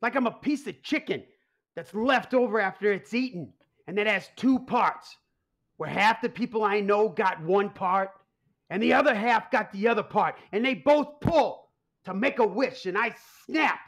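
A man's voice: high voice, animated, moderate pace, a bit bright, full character voice. A man playing an anxious, exasperated mob boss and father in a comedic radio play. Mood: anguished. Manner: exasperated, blustering. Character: harried, anxious mob boss father who secretly runs pizzeria.

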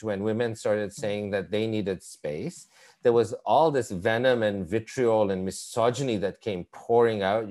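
A middle-aged man from Canada, born in East Africa, speaking thoughtfully over a video call with many halting repeats. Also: lowish voice, darkish tone. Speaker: conversation partner. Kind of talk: reflective video-call conversation.

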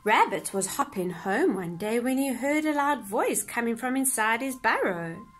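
A woman narrating a children's picture book in an expressive storytelling style. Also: a little high, normal speed.

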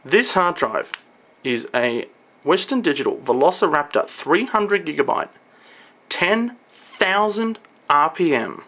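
A man's voice: on the high side, big pitch swings, slow pace, pushed and bright. A young man giving an informal, explanatory product walkthrough on camera as a video presenter. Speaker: video presenter showing computer parts.

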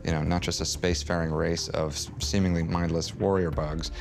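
Very deep, monotone, gravelly man. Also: brisk. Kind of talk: behind-the-scenes interview. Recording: music playing behind the voice.

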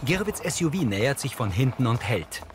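A man's voice: mid-range voice, big pitch swings, fairly quick, noisy background. A man reading scripted audio description narration for a TV drama.

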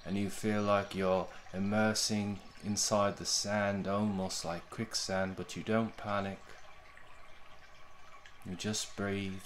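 Slow, low-voiced man guiding meditation. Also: level tone, slight background noise. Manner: gentle, unhurried, with long pauses between short phrases. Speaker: meditation guide. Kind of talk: guided meditation, soothing narration.

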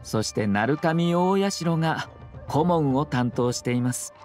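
An actor playing a composed young nobleman in an anime video game, speaking with polite, formal, measured phrasing and a subtly teasing slyness. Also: fairly high pitch, expressive, a little mellow, faint music behind. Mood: composed explaining.

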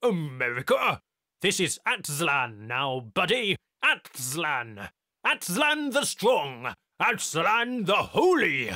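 A man's voice: high voice, huge pitch swings, takes it slowly, bright, forceful tone, no echo, clean studio sound, pitched above the performer's own voice, full character voice. A man voicing a short, jocular male technician, sarcastic, brash and bellowing. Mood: mocking.

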